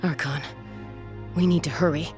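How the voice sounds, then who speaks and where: An actress playing a grieving but resolute young warrior in a video game, earnest and selfless. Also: female voice, deep voice, fairly even intonation, moderate pace, music in the background, natural voice. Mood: grieving, determined.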